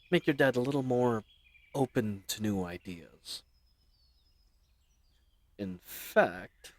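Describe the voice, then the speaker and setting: A man's voice: mid-range voice, no echo. A man playing a chatty, roguish bandit leader in an audio roleplay, with folksy slang and teasing mock courtesy. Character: roguish, chatty, friendly male bandit leader, mockingly polite. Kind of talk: scripted audio roleplay addressed to the listener.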